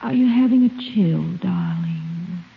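An actress playing a tender, seductive sweetheart, soft and affectionate, in a radio romantic comedy. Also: female voice, on the low side, measured pace, vocal fry. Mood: tender, cooing. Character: tender, doting, seductive dream sweetheart woman. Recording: muffled, noisy old radio broadcast.

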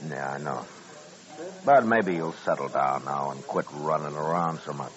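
Very deep-voiced frontier marshal. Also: male voice, moderate pace. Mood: easygoing, subdued. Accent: American. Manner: laconic, wry, understated. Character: calm, dry-humored frontier marshal of the Old West. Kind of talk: 1950s American radio western acting. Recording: dull old-time radio recording.

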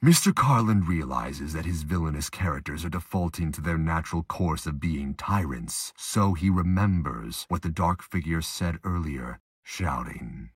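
A man's voice: a bass voice, expressive, normal speed, hardly any pauses, slightly rough, no room sound, studio quality. A man reading scripted dramatic narration for a fan-made audio drama.

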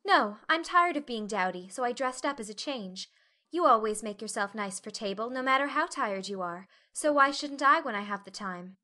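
A woman's voice: fairly high pitch, animated, normal speed, bright, forceful tone, voice pushed up. A woman voicing an affectionate, coaxing young wife and mother for a storybook reading. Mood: playful.

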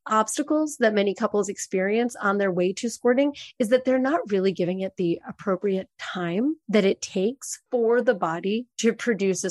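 A woman's voice: mid-range voice, darkish tone, no echo. A woman coach answering interview questions in a fluent, instructive way. She sometimes stresses points firmly, and the recording is dry and close. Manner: fluent, instructive, emphatic explaining. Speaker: sex and intimacy coach, guest.

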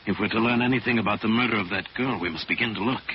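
An actor playing a calm, deliberate mystic detective hero with measured authority in an old radio drama. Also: male voice, on the low side, lightly acted. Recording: dull, noisy old radio recording.

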